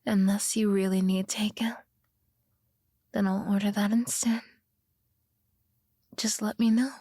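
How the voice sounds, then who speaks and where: A woman acting a possessive, sweetly menacing yandere girlfriend in an intimate ASMR roleplay, with a breathy voice that often drops to a whisper and a coaxing, threatening manner. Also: mid-range voice, level tone, no room sound. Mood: sweetly controlling. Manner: slow, coaxing, softly threatening.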